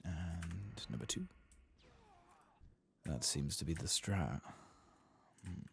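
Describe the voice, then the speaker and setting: A man giving soft-spoken ASMR commentary over video game play, hushed and at times slipping into a whisper close to the mic. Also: low voice, lively intonation, slow, vocal fry, breathy edge. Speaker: host of a gameplay channel.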